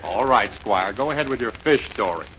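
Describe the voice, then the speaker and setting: A man's voice: on the low side, animated, fairly quick. A man hosting and playing straight man in scripted 1930s radio banter, on a muffled, very noisy old recording.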